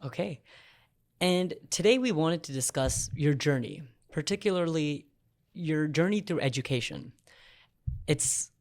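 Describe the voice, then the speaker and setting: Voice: mid-range voice, no room sound. Earnest host with a dry close sound. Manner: earnest, reads from notes, restarts. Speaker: podcast host. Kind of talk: scripted intro and interview questions.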